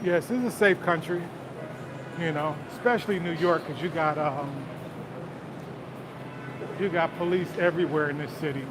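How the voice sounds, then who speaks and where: A man's voice: on the high side, normal speed, pauses often. Chatty man walking busy city streets. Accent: Southern US. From Detroit, Michigan. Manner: relaxed, chatty, answers viewer comments, often says "man". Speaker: livestream host. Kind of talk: casual live-stream chat while walking. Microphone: phone held while walking. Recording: street noise. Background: city traffic and crowd sounds.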